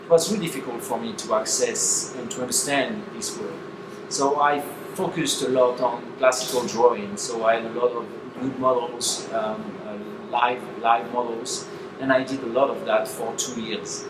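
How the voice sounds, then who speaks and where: A man's voice: medium pitch, fairly even intonation, moderate pace. A man in his forties with a French accent, a painter telling his own story and searching for words as he goes. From France. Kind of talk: personal account and speech. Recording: echoey and noisy, from far off.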